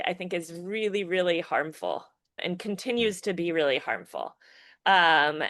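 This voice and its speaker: A woman speaks in a fairly low voice, recorded close and dry with no room sound. She is a filmmaker guest on a podcast, reflective in tone, circling back with restarts and "you know" as she works through her thoughts. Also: fairly bright tone, dry recording. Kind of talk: casual podcast interview.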